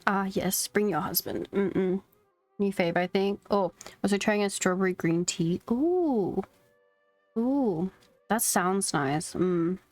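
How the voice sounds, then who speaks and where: A woman's voice: medium pitch, slow pace, darkish tone. A young woman game streamer chatting casually and reacting in a playful, giggly manner. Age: young adult. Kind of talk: casual livestream chat and reactions. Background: faint game music.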